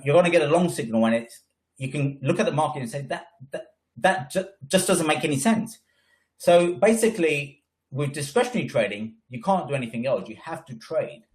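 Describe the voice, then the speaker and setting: A man with a head cold, presenting a trading webinar in an emphatic, coaching way, often tagging lines with "right?". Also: a little high. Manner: emphatic, frequent "right?" tags. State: congested with a cold. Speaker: trading educator.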